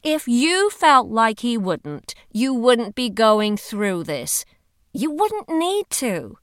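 A brash, loyal woman as a female narrator plays her speaks in blunt, punchy commands. Her pitch swings boldly and the sound is dry and close, in audiobook dialogue. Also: big pitch swings, slow pace, fairly bright tone, no echo, heavily acted. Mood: firm, reassuring.

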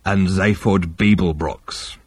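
A man voicing a gruff, slow-witted alien starship captain from an audiobook, with a croaky rumble and terse, heavy delivery. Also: fairly even intonation, a little mellow, exaggerated voice.

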